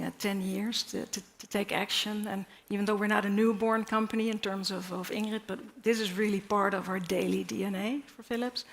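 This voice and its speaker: A woman speaking on a panel for her company, with measured, corporate phrasing and frequent pauses. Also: mid-range voice, creaky, fairly bright tone. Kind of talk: prepared panel remarks. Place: stage at a university event.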